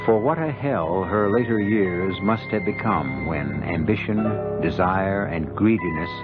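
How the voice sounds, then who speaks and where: A man hosting a radio drama with solemn, measured, scripted narration. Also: sits low. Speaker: host. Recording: muffled vintage radio recording. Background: loud music.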